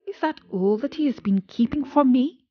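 A woman's voice: high-pitched, lively intonation, moderate pace, husky, pitched above the performer's own voice. Woman doing eager cocky young boy apprentice, storybook voice, bit muffled audio. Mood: smug. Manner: eager, self-important, storybook dialogue.

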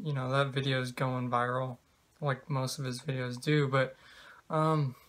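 A man in his twenties talking casually to camera in a halting, hesitant, rambling way, recorded indoors in a bedroom. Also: mid-range voice, fairly bright tone. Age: 20s. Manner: halting, hesitant, trailing delivery. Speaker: vlogger.

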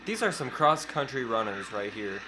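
Sassy young man, lively pitch. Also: normal speed, heavy background noise. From Texas. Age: young adult. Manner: sassy, campy, swears and exclaims loudly. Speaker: co-host reacting to a film. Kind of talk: casual reaction chat. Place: home room with bookshelves, indoors.

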